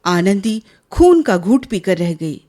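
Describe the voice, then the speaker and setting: Casting: female radio drama narrator, medium pitch, animated intonation, unhurried scripted delivery.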